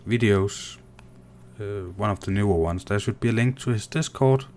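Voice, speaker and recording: A man from Denmark with a Danish accent, casually commenting on his gameplay while reading and answering viewer chat in a soft, unhurried way. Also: lowish voice, slight background noise. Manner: soft-spoken and unhurried, muttering while playing. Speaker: gaming streamer.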